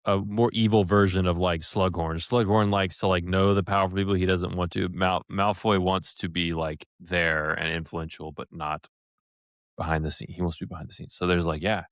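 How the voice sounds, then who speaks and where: A man co-hosting a casual podcast, relaxed and opinionated, often restarting his sentences. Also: low voice, moderate pace, no echo, clean studio sound. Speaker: podcast co-host.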